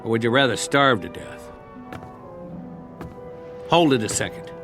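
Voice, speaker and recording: An actor as a wry, animated American war survivor. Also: male voice, mid-range voice, measured pace, music in the background, lightly acted. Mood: wry, firm. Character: weary, wry male ex-soldier surviving after war. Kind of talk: radio drama acting.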